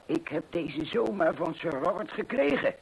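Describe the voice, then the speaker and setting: An actor playing a chatty country innkeeper in an old radio play, nervously apologetic, hesitant and deferential. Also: male voice, a little high, expressive, moderate pace, vocal fry. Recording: noisy old radio recording.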